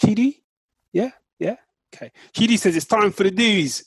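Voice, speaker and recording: A man's voice: high-pitched, moderate pace, husky. A British man co-hosting a podcast in casual, playful, slangy and teasing banter. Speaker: podcast co-host.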